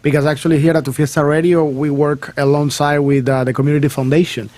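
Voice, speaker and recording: A man's voice: a little high, level tone, quick pace, darkish tone. A man hosting a bilingual morning radio show, talking with many fillers and restarts.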